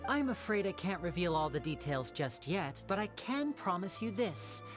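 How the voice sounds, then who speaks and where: A woman voicing a confident, courteous young male magician in a video game, smooth and earnest. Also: lowish voice, very animated, moderate pace. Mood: earnest, reassuring. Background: game music.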